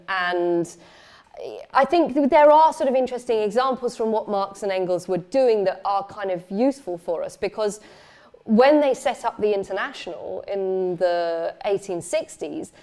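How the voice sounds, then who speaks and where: A woman's voice: mid-range voice, dark tone. Hesitant woman lecturing. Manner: hesitant, many fillers and restarts. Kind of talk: memorial lecture with questions.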